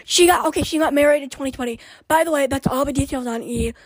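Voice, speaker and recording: A young woman hosting a podcast with a raspy voice, chatting in a scattered, rambling way and often dropping into whispered asides. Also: high-pitched, quick pace, dry recording. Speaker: podcast host. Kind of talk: casual rambling chat.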